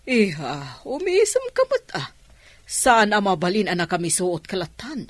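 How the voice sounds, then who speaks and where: A woman's voice: medium pitch, big pitch swings, a little slow, dry recording. A woman playing a fussy middle-aged mother, coaxing and keen on appearances, in a radio drama.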